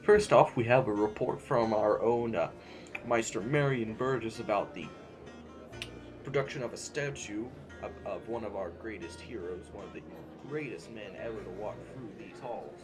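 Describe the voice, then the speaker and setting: Man playing pompous male sovereign, low voice, courteous menace, halting formal oratory, audio drama, music underneath. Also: lightly acted. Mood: solemn, formal. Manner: pompous, hesitant, measured oratory.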